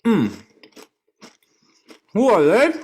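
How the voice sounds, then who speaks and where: A speaker performing a theatrical monologue in a conversational, halting, knowing way. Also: medium pitch, sing-song, darkish tone.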